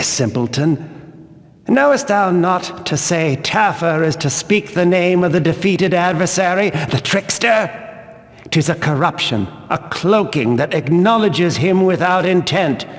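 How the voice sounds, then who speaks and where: A stern, devout guard of a fantasy religious order, preaching in archaic words. The voice sits a little high for a man and moves slowly and solemnly. Long hall-like reverberation trails every phrase. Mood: scolding. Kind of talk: archaic scripted video game dialogue.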